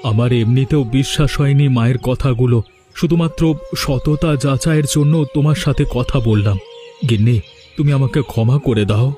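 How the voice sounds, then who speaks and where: A man's voice: mid-range voice, a little fast, dark tone, faint music behind, lightly acted. A man playing an earnest, protective married son in a melodramatic village cartoon, with a pleading, reproachful manner. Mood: gloomy, apologetic. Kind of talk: melodramatic cartoon family comedy acting.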